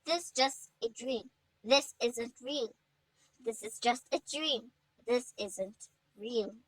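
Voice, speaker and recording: A woman's voice: high-pitched, unhurried, bright, forceful tone, dry recording, low-quality recording. A woman voicing an emotional, dramatic village girl in a told story, defiant yet tender. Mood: grieving. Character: defiant grieving village girl, tender with her sick mother.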